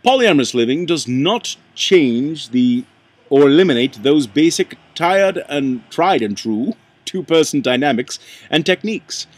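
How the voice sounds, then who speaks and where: Halting man reading aloud, lively pitch. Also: breathy edge. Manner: halting, stumbles and rereads lines; puts on an accent while reading. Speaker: amateur reader.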